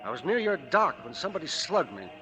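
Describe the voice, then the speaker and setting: An actor playing a dazed, hesitant local deputy in 1940s radio drama style. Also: male voice, on the high side, sing-song, fast. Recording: muffled old radio broadcast.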